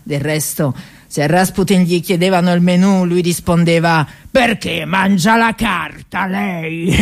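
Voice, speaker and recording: A woman performing tragicomic radio theatre storytelling, dramatic and emphatic, drawing out words for effect. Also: medium pitch.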